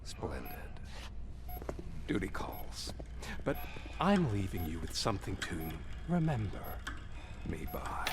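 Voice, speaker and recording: Smug, slow, theatrical crime boss. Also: male voice, deep voice, huge pitch swings, gravelly, full character voice. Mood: hushed, menacing. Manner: mocking, mock-polite, savoring his words. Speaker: villain. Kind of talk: video game cutscene acting.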